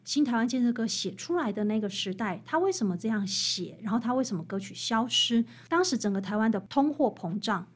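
High-pitched Taiwanese Mandarin woman, expressive storyteller. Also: a little fast, few pauses, slightly rough. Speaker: author interviewed as guest. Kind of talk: expressive explanatory interview talk.

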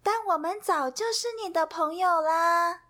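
A woman voicing a kind storybook friend who speaks gently and reassuringly. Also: very high voice, expressive, a little slow, voice pushed up, heavily acted.

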